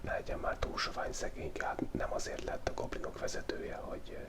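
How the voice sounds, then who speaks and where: Whispering man with a cold, close mic. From Hungary. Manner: playful, joking asides while sketching. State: has a cold. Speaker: YouTube drawing channel host. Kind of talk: whispered ASMR drawing commentary. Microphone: small mic taped to his face, very close.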